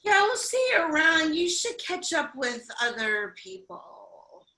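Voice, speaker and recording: A woman's voice: high voice, big pitch swings, measured pace, bright, forceful tone, echoey room, exaggerated voice, rough recording. A woman acting a tipsy, gushing but prim and politely scolding sister in a staged reading of a comic play.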